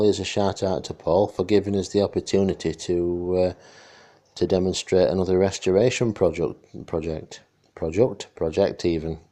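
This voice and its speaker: A man's voice: low-pitched, warm tone. A middle-aged man gives a casual, hands-on restoration commentary in a chatty, rambling, dryly jokey way. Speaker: radio restorer presenting a demonstration.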